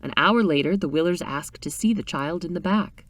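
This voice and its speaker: A woman reading a short story aloud in an expressive, dramatic storytelling style. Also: low voice, moderate pace, no room sound, far from the mic. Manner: animated, dramatic reading with character voices.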